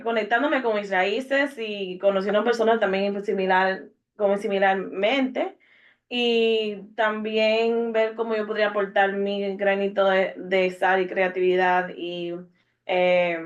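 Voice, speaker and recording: Young woman, hesitant casual talk, lots of fillers, medium pitch, dull lo-fi audio. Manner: hesitant, many fillers and restarts. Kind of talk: casual personal introduction.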